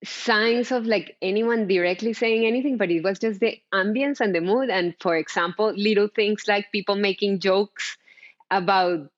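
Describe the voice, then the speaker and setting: A woman's voice: medium pitch, normal speed. Woman, lo-fi sound. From Colombia. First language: Spanish. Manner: searching, with many restarts and "right?". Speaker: panelist, DEI practitioner. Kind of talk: personal story in panel talk. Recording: dull lo-fi sound.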